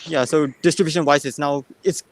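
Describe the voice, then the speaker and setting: A man's voice: fairly high pitch, fairly even intonation, fairly quick. A man chatting as a polite, encouraging panelist on a casual group livestream.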